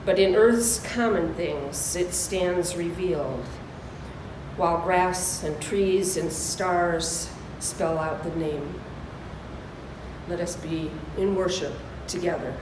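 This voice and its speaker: A woman's voice: fairly low pitch, slow pace. A woman minister giving a welcome and solemn readings for a church service. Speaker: minister leading worship. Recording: echoey room, distant mic, clear noise.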